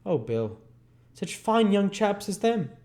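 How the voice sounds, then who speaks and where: A man's voice: sits high, sing-song, takes it slowly, warm tone, voice pushed up, exaggerated voice. A man voicing an emotional young London woman in a storybook reading, tender but defiant, with a pleading, emphatic delivery. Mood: pitying.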